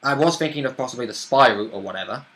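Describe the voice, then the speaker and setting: A man's voice: medium pitch, animated, quick pace, a bit bright. A man playing a tabletop roleplaying game, chatting casually and informally with mild swearing.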